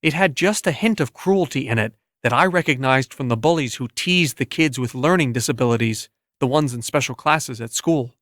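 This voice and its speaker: A man's voice: mid-range voice, normal speed, hardly any pauses, a bit bright, no room sound. Male narrator for literary first-person audiobook fiction, recorded dry and close.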